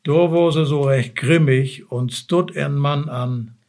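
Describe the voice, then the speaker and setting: A man with a Low German accent, a native Low German speaker, reading a fairy tale aloud slowly and haltingly. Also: a little mellow. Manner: slow, halting and stumbling. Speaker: guest reader.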